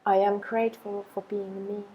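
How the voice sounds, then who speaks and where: A woman leading a guided affirmation meditation, speaking in slow, measured phrases with long pauses. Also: mid-range voice, level tone, mellow, slightly rough.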